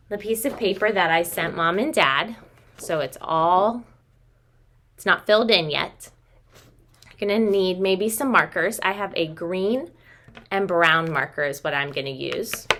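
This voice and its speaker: An American woman in her thirties, a preschool teacher reading and explaining to young children in an expressive way with questions and drawn-out words. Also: lowish voice, a little hiss or hum. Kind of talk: children's story read-aloud and craft instruction. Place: small home room with sloped ceiling.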